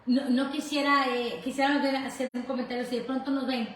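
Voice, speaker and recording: A middle-aged Mexican woman speaks as a guest in casual conversation. Her voice is fairly high and comes from some distance in a very reverberant room with faint noise. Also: little pitch movement, fast talker. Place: echoey room in host's home.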